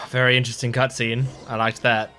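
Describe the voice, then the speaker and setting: A man commentates casually on a game as a streamer would. His voice sits at an average male pitch, with game music faintly audible underneath. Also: little pitch movement, moderate pace. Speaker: gaming reaction streamer.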